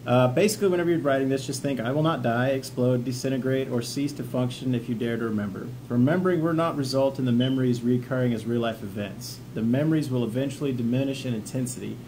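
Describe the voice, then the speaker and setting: A man with a medium-pitched voice hosts an informal instructional vlog. He is plain-spoken and encouraging, moving between reading notes and casual explanation. Clear ambient noise sits behind his voice. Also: fast talker, few pauses, darkish tone. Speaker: vlog host, peer mentor for trauma coping. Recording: clearly noisy ambient background.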